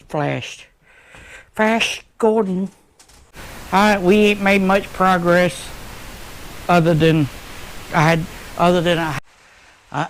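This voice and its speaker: American male vlogger, folksy and off-the-cuff, gravelly delivery, faintly noisy action-camera sound. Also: on the high side. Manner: folksy, rambling, muttering to himself. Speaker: DIY motorcycle vlogger. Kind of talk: casual unscripted how-to vlog chat. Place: shed workshop.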